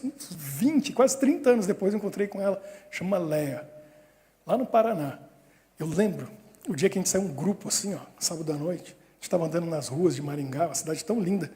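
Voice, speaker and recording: High-voiced man preaching in a hall. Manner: lively storytelling with frequent chuckles. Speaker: pastor. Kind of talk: sermon. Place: church hall.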